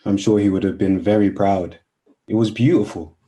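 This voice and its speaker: A man's voice: lowish voice, fairly even intonation, normal speed, mellow, lightly acted. A man playing a kindly, polite wedding guest who is easily embarrassed, in naturalistic radio play dialogue with a hesitant, conversational manner. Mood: reassuring.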